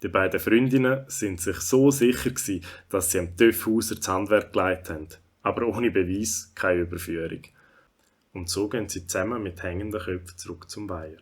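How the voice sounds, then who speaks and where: A man with a husky voice and a Swiss German accent, narrating a story for children. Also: low-pitched, brisk.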